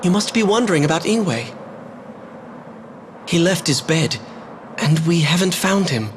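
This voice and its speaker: A man playing a calm, earnest young fantasy prince in a video game, reassuring and measured. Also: a little high, slight background noise. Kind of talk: scripted video game voice acting.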